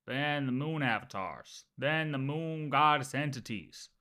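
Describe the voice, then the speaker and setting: A streamer giving casual, off-the-cuff reaction commentary in a halting way, often repeating and rephrasing. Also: medium pitch, expressive, sharp tone. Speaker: livestreamer reacting to a video.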